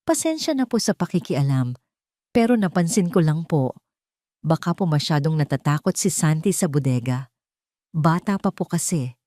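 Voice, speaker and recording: A Filipino woman voicing a gentle, protective housemaid in an audio story, speaking in a soothing, deferential way. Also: low voice, very animated, moderate pace, no room sound, studio quality. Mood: timid, respectful. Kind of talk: audio story character dialogue.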